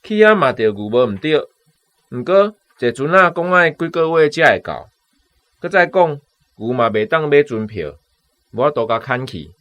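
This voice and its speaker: A man's voice: a little high, big pitch swings, normal speed, fairly bright tone, lo-fi sound. An actor with a Taiwanese accent playing a friendly, persuasive male farm villager in a radio drama. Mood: explaining, persuasive.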